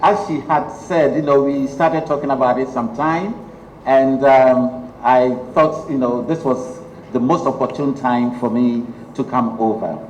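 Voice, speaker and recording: Man, Ghanaian accent, middle-aged, guest lecturer, measured delivery, roomy hall sound. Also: medium pitch, darkish tone. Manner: measured, with frequent ums. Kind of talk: public lecture. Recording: echoey hall, faint noise.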